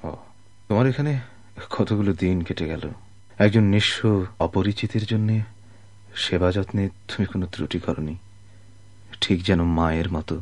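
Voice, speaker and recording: Man acting a weak, faltering low-voiced sick sailor. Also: darkish tone, close to an everyday voice. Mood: weary, resigned. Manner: halting, repeats words, pleading. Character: sick, exhausted, destitute wandering sailor, humble and secretive. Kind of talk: Bengali radio drama acting.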